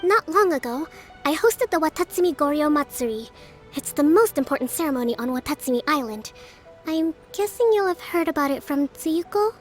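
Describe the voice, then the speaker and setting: A high, light voice for a young priestess who leads her island. She speaks in a formal, measured way, earnest and composed, as a video game character with soft music behind her. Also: animated. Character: young female island leader and priestess, earnest, dignified, dutiful.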